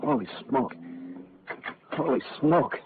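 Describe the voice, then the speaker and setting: A man acting a chatty, excitable young bartender in 1940s radio drama, folksy and slangy. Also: medium pitch, takes it slowly. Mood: flustered. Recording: tinny, noisy old radio recording.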